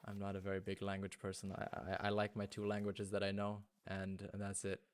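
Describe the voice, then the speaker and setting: A man's voice: on the low side, completely flat delivery, brisk, clear, resonant tone, no echo. A man whose first language is German, chatting casually with viewers while streaming a hunting game and thinking aloud. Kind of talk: casual gaming livestream chat.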